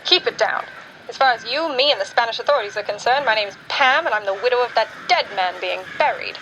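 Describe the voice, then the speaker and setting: Secretive female agent, brisk, forceful bright tone, radio drama, slight background noise. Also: a little high, poor audio. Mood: urgent, scolding. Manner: urgent, scolding.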